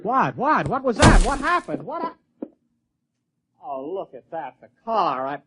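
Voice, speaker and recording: A man's voice: sits high, very animated, a little slow, very noisy. An American actor playing a flustered, skeptical, educated city man in a radio drama, stammering and insistent. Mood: alarmed. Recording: dull, dry vintage radio recording.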